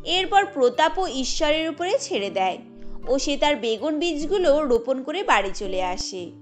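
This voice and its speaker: A woman's voice: sits high, sing-song, unhurried, faint music behind. A woman reading scripted storytelling narration for a cartoon.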